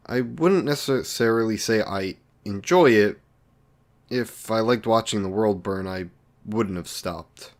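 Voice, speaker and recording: Man acting as lonely male villain, low-pitched, very slow, hesitant, awkward, earnest, apologetic, romance audio drama. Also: natural voice. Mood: somber, guarded. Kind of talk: romantic audio roleplay, addressed to the listener.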